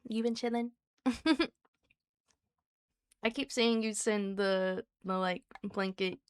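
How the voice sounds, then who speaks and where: Soft-voiced woman streamer chatting. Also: medium pitch, fairly even intonation, takes it slowly, clear, resonant tone. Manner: soft, soothing voice; chatty and giggly, talks to chat by name. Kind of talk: casual live-stream chat with viewers.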